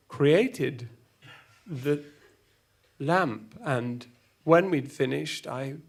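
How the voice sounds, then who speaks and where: A man acting a wistful, hesitant character who falters through a tender memory in an audio drama monologue. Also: medium pitch. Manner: hesitant, halting.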